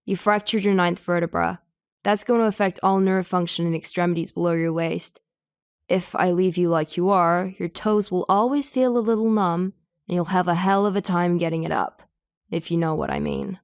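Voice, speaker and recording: Woman acting a low, deadpan young healer heroine. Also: normal speed, no echo. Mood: deadpan threat. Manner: deadpan, solemn. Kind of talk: audiobook character acting.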